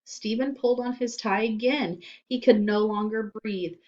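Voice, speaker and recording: A woman storyteller reading a children's book aloud in animated scripted narration. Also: fairly high pitch, moderate pace, highs cut off.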